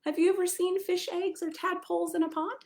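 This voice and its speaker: A woman's voice: very high voice, little pitch movement, brisk, a little mellow, a little hiss or hum. An American woman reading a picture book to young children, adding chatty asides and simple questions for her listeners. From United States (Maryland). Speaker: pastor reading a children's story. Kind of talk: children's storytime read-aloud with chatty asides.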